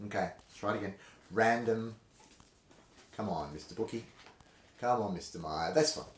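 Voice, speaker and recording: A man hosting a dramatic reading, speaking theatrically and emphatically with dramatic pauses. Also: fairly low pitch, noisy background. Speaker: host reading aloud from a historical treatise. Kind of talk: casual intro, then dramatic reading of an old fencing manual. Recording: roomy, echoing indoor sound.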